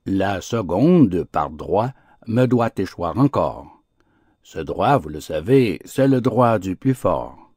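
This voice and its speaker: A man's voice: sits low, very animated, slow, darkish tone, slightly rough, no room sound, studio quality, full character voice. A man voicing a proud, bullying lion lord in a fable, pompous and threatening in manner. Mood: imperious, threatening. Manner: imperious, pompous.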